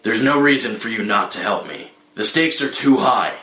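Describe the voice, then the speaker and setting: Guy doing a grim distrustful vigilante hero, lowish forceful voice, terse and demanding, echoey noisy recording. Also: expressive, moderate pace. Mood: stern, resolute. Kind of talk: dramatic screenplay table read. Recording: roomy echo with noticeable background noise.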